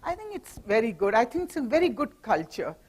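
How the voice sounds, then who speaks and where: A middle-aged woman from Mumbai with an Indian English accent, giving a lively corporate talk as a professor to an audience in a hall, with teasing asides and jokes. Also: a little high, brisk, husky. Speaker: guest speaker, professor. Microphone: handheld or stand mic.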